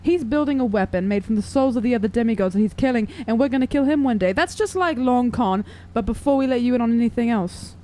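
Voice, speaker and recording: A woman's voice: on the high side, expressive, fast, hardly any pauses, mellow. A woman playing a tabletop role-playing game, speaking casually and conversationally. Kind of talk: casual chat during game play. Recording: faint background noise.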